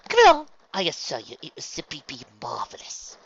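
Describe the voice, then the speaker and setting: A man's voice: fairly high pitch, wildly swooping pitch, normal speed, vocal fry, fairly bright tone, lo-fi sound, pitched above the performer's own voice, full character voice. A man voicing a refined, bossy, theatrically gracious lady pony who coaxes with genteel endearments. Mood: cheerful coaxing. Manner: gushing, genteel, uses endearments.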